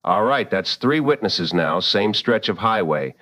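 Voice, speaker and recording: A man playing a calm, wry late-night radio host with measured dry humor in a radio drama, slightly muffled. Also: fairly low pitch, heavily acted.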